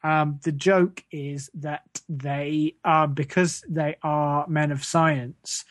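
A woman's voice: low voice, fairly even intonation, slow pace, dry recording. A woman co-hosting a comedy podcast, chatting in a rambling, hesitant, faux-naive way. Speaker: podcast co-host. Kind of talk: casual comedy chat.

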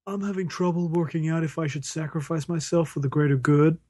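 A man's voice: on the high side, fairly quick, warm tone, husky, no echo, studio quality. A man playing an earnest, self-doubting superhero in a parody radio play. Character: earnest superhero agonizing over sacrificing himself.

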